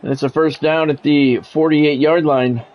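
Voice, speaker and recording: A man's voice: fairly high pitch, steady tone, normal speed, highs cut off. A man doing football play-by-play for a video game stream in a conversational, blunt way. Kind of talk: football play-by-play commentary with casual reactions.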